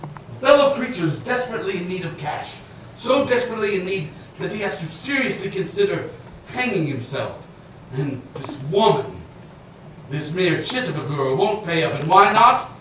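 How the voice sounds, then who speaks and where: A man's voice: high voice, expressive, normal speed. A man plays a middle-aged landowner in a permanent fury, ranting in a raised voice. The sound is far from the mic, with heavy room echo. A faint hiss sits under it, as on an old stage recording. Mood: grumbling. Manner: ranting, blustering, swings from shouting to grumbling. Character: irascible blustering male landowner creditor, middle-aged. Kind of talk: theatrical stage acting.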